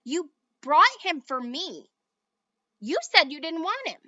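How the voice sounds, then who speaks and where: A woman voices an earnest young boy, coaxing, high and bright, dull dry sound. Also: animated, measured pace, no echo, exaggerated voice. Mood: stern.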